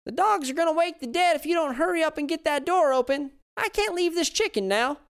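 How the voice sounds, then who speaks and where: Fussy old gal, man doing her, sweet drawl, kinda gravelly. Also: extremely high pitch, expressive, fairly quick, fairly bright tone, pitched above the performer's own voice, exaggerated voice. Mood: fussing. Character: old Southern country wife, bossy and fussing.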